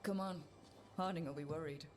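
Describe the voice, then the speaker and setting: Low-voiced confident warrior woman, acted. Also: brisk, close to an everyday voice. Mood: brisk. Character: confident, smooth-talking warrior woman, cool and persuasive. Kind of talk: video game cutscene dialogue. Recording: loud game music behind.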